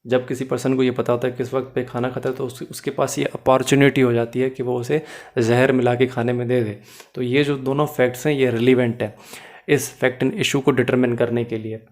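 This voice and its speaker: A man giving an explanatory lecture as a teacher, in a didactic way, mixing in English phrases and often checking that listeners follow. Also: medium pitch. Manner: mixes English phrases into Hindi-Urdu. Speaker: law teacher.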